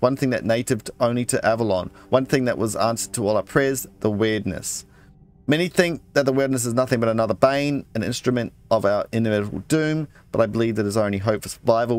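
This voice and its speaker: A man playing a video game, casually commenting, reading in-game texts aloud and muttering asides to himself. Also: mid-range voice. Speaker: let's play gamer.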